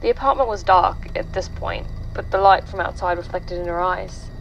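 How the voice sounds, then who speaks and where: A woman playing a frightened, grieving young woman giving a halting, earnest statement in a horror audio drama. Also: fairly low pitch, vocal fry, muffled recording, a little hiss or hum. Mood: haunted, subdued. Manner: halting, haunted, earnest, with hesitant restarts.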